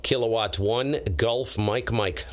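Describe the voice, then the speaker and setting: A man's voice: mid-range voice, fairly even intonation, takes it slowly, slight background noise. A man running a ham radio livestream, mixing casual chat with radio calls. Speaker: amateur radio operator live-streaming a contest. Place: cold basement radio room.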